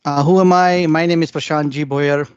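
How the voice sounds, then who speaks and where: A man's voice: on the high side, fairly even intonation, normal speed, smooth, no room sound. A man from India introducing himself as a conference presenter on a video call, with many "uh" fillers. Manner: many "uh" fillers between phrases. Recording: dry, dull video call audio.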